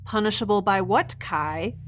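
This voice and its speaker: A woman's voice: mid-range voice, moderate pace, music in the background, close to an everyday voice. A woman playing a harried young space scientist in a sci-fi audio drama, speaking informally with wry, hesitant urgency. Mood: wry, anxious.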